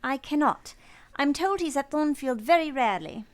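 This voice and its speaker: An actress performs a young governess with a high voice. She is composed and earnest, with a steadfast streak. Her delivery is formal and measured, in the manner of a storytelling radio play. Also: lively intonation. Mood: polite, steady. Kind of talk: first-person narration and dialogue in radio play.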